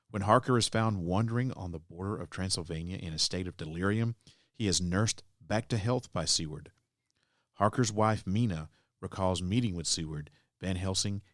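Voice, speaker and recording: A man's voice: low voice, fairly bright tone, no room sound, studio quality. A man hosting a podcast, reading a scripted introduction to old-time radio drama. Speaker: podcast host.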